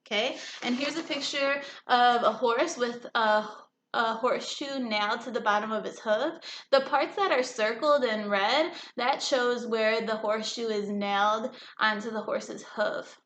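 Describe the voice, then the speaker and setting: A woman teacher reading a story aloud to children, pausing to explain simply and check understanding with questions. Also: fairly high pitch, a bit bright. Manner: instructive, checks understanding often. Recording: echoey room with faint noise.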